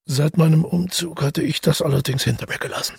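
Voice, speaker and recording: Husky, gravelly, brooding male narrator. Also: fairly high pitch, fairly even intonation, a little fast, a little mellow, no room sound, studio quality, exaggerated voice. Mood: uneasy recollection. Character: brooding, haunted male first-person narrator recalling the past. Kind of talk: literary audio drama monologue.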